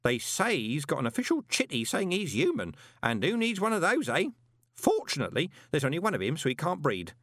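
A man's voice: a little high, wildly swooping pitch, fairly quick, fairly bright tone, no room sound, clean studio sound, pitched above the performer's own voice, heavily acted. A man voicing a chatty, deferential elderly postal worker with a rambling, conspiratorial way of talking. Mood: gossipy. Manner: gossipy, rambling, ingratiating.

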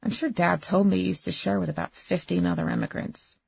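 A woman's voice: fairly low pitch, steady tone, fairly quick, vocal fry, a little mellow, husky, no echo, highs cut off, full character voice. A woman voicing a haughty, entitled rich daughter in an audiobook, with a condescending, coolly snide manner.

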